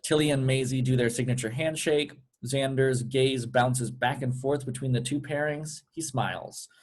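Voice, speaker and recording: A man's voice: medium pitch, normal speed, darkish tone. A man serving as narrator, reading stage directions aloud at a script read-through.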